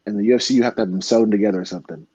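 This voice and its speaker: A man's voice: on the low side, steady tone, rapid-fire, mellow, husky, no echo, poor audio. A young man from Florida telling earnest, heartfelt stories in a casual interview, full of fillers and restarts. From Florida, United States. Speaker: MMA fighter guest.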